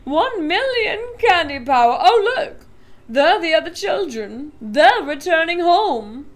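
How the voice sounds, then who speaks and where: A woman doing a booming gleeful candy maker. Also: measured pace, a bit bright. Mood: cheerful. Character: eccentric excitable male candy maker.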